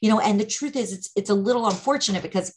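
A woman leads a study session in an easy conversational tone. She explains terms patiently and often restarts her sentences. Her voice sits at a middle pitch. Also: quick pace, a little mellow. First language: English. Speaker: Torah teacher. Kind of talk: conversational teaching.

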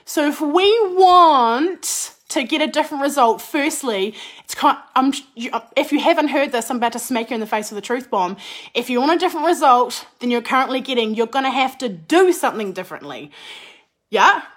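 A young woman, a business and mindset coach, talking in a chatty, animated way with a slightly croaky voice after a cold. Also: high voice, normal speed. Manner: chatty, animated, says "like" often. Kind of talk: casual podcast monologue.

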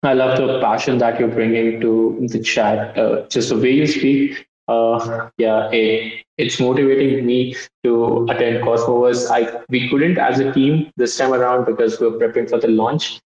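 A man hosting a casual online chat for his project team, laid-back and halting as he talks. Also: mid-range voice, steady tone, a little mellow. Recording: very echoey, dull lo-fi sound.

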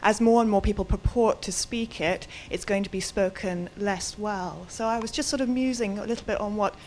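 A woman's voice: medium pitch, normal speed, darkish tone, slight background noise, poor audio. A woman in the audience speaks up at a public debate, musing aloud and sure of her opinions. A faint room ambience sits behind her. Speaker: audience member asking a question. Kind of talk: spontaneous question at a public debate.

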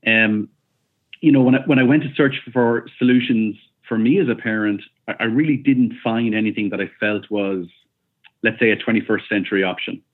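A man's voice: fairly low pitch, fairly quick. A man in his forties speaking as an interview guest over a remote call, thoughtful and hesitant, with many ums and restarts. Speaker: guest, company founder. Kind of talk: casual interview answers. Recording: tinny phone-like call audio.

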